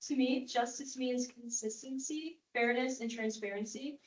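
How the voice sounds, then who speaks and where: Young woman, student candidate, fairly high voice, measured and rehearsed, echoey room. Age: young adult. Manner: measured, even, well-rehearsed delivery. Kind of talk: prepared candidate statement.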